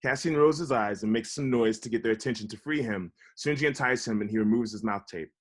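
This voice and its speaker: A man reads scripted stage directions as narrator. His voice sits at an average male pitch, in a table-read delivery. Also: fast talker.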